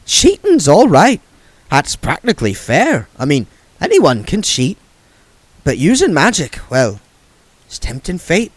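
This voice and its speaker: A man's voice: fairly high pitch, wildly swooping pitch, a little slow, long pauses, husky, no room sound, voice pushed up, full character voice. A man voicing a chatty, folksy old woman witch.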